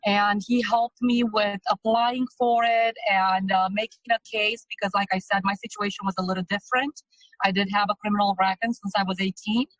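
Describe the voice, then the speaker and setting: A woman's voice: mid-range voice, no room sound, rough recording. A woman in her thirties, originally from Romania, talking in a loose, chatty way with frequent hesitations as an interview guest. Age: 30s. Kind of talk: casual testimonial interview.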